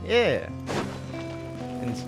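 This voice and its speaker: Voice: very slow, over loud music, rough recording. A streamer of unclear sex giving casual, jokey, slangy commentary while playing a game.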